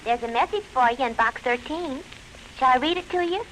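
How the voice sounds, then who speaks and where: A woman's voice: sits high, fairly quick, fairly bright tone, poor audio. A woman playing an earnest, naive young secretary in a 1940s radio drama. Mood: earnest, innocent. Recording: vintage radio recording, faint noise.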